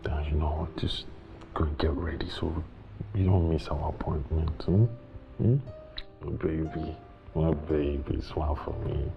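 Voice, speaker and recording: An actor playing a domineering husband who turns coaxing and apologetic, in a naturalistic screen drama. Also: male voice, extremely low pitch, slow, gravelly, pauses often, muffled recording, over music, heavily acted. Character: domineering but coaxing husband, adult man, quick to apologise.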